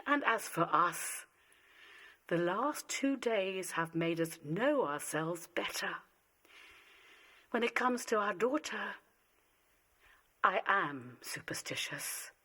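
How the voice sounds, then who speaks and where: A woman playing a slow, theatrical, emotional mother. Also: medium pitch, animated, bright, forceful tone, full character voice. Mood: wry, pointed. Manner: theatrical, deliberate, dramatic pauses. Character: anxious, emotional wife and mother, superstitious, pleading. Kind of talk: radio play acting.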